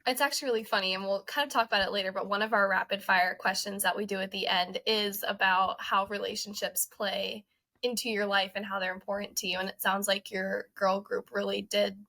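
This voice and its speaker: A woman's voice: mid-range voice, fairly even intonation, brisk, vocal fry, pushed and bright, dry recording. A young adult woman, chatting casually as a podcast co-host. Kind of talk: casual chat.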